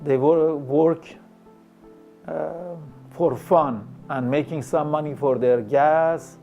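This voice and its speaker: A middle-aged man from Iran, a taxi driver, talking haltingly with pauses and fillers in a documentary interview. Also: a little high, steady tone, muffled recording, soft background music.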